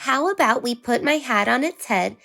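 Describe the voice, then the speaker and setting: A woman's voice: high-pitched, lively intonation, moderate pace, creaky, sharp tone, voice pushed up, full character voice. A woman voicing a proud big-sister girl who teaches in a confident, instructive manner. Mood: teaching.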